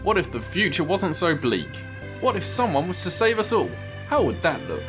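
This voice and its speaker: A man's voice: high-pitched, very animated, normal speed. A man reading a scripted promo for a podcast as its announcer. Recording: music bed behind the voice.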